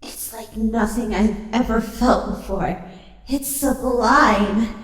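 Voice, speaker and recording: A woman's voice: a little high, slow. A woman voicing an anxious young pony turned changeling queen, emotional and dramatic, in a fantasy audio drama. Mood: entranced. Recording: echoey voice over music. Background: music.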